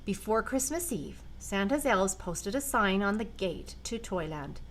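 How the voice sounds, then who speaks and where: An older woman reads a children's storybook aloud in a gentle, expressive way. Her voice sits at a middling pitch. A faint hiss runs under the recording. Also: a little slow. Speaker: storyteller grandmother. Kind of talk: reading a picture book aloud.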